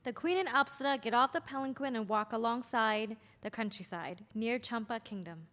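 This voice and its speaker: Higher-voiced woman narrator in echoing hall. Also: normal speed, a bit bright. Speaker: narrator reading stage directions. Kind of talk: scripted narration at a table read. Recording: long reverberant hall echo.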